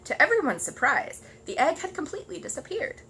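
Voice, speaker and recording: A woman reading a children's story aloud in animated storybook narration. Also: high voice, brisk, gravelly, slight background noise, poor audio.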